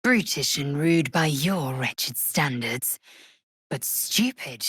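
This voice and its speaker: An actress playing a proud, fierce, zealous female githyanki warrior in a video game, imperious, clipped and formal, with a husky, breathy rasp. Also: low voice, expressive, slow, fairly bright tone, no echo, clean studio sound, heavily acted. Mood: scornful, curt. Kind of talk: video game character acting.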